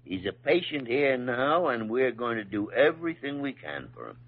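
A man acting an older senior hospital doctor in a 1940s radio drama, gruff but fair, speaking in a halting, folksy, emphatic way. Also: medium pitch, very animated, slight background noise. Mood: businesslike. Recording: dull old radio recording.

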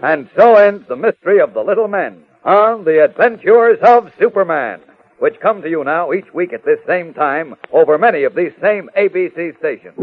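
Male serial narrator, declamatory, fairly high voice, dull vintage radio recording. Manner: dramatic, declamatory. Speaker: announcer and narrator. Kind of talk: 1940s radio scripted narration. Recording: muffled old radio recording with music.

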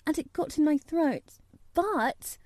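A woman's voice: high voice, animated, takes it slowly, darkish tone, no echo, pitched above the performer's own voice. A woman voicing a prim young girl in a storybook, with a severe, dignified manner. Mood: prim reproach.

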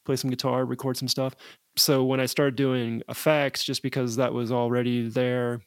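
An American man speaks casually as a guest in an interview. His voice sits at a middle pitch, and the recording is dry, with very little room sound. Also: flat delivery, normal speed, clear, resonant tone, no echo. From United States (Nebraska). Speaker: podcast guest, guitar effects pedal maker.

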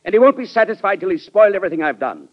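An actor playing a proud, self-righteous cabinet minister in an old-time radio drama, insistent and accusatory in a clipped delivery. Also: male voice, high-pitched, big pitch swings, rapid-fire. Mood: combative. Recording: muffled vintage radio drama recording.

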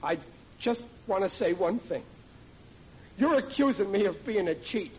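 A man's voice: high voice, lively intonation, unhurried, a little mellow. An actor playing a bumbling, protesting middle-aged family man in old radio comedy. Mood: sheepish, pleading. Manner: blustering, hapless, protesting. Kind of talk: 1940s radio comedy acting. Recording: muffled old radio recording with clear noise.

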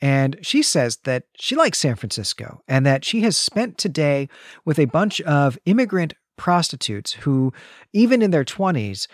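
A man's voice: a little high, no echo, studio quality. A man co-hosting a podcast, recapping a comic story in an articulate, explanatory way. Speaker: podcast co-host.

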